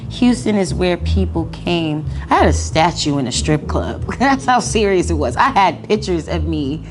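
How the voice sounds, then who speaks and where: A young adult woman talking casually as an interview guest, animated and full of slang, laughing often. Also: on the low side, normal speed. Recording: noisy background.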